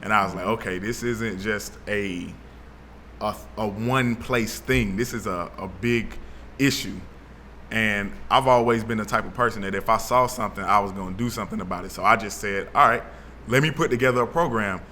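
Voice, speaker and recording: A young man from Atlanta, telling his story as an interview guest in a relaxed way with plenty of fillers. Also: on the low side, normal speed, a little hiss or hum. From Atlanta, Georgia. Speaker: guest, founder of an initiative. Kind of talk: casual interview talk.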